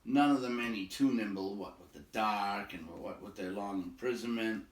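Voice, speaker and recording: A man's voice: lowish voice, normal speed. A man reading a storybook aloud to listeners, moving between narration and casual remarks. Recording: roomy echo, distant from the mic.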